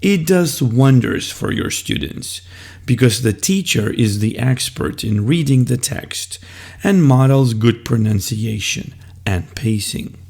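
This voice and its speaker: A man explaining classroom methods as a teacher, in a deliberate, step-by-step instructional style. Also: mid-range voice, lively intonation, gravelly, darkish tone, breathy edge.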